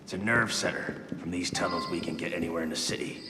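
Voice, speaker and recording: Male assassin guide, acted: low, terse, scornful game character, long echo around him. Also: quick pace, music in the background, lightly acted. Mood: gruff, proud. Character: gruff, proud, prickly male assassin guide. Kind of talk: dramatic video game acting. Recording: long reverb, clear background noise.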